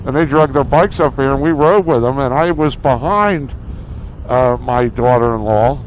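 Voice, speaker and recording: A middle-aged man co-hosting a motorcycle vlog, chatting casually in a halting, plain-spoken way over a helmet intercom. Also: medium pitch, low-quality recording. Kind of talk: casual chat while riding. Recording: faint road and engine noise.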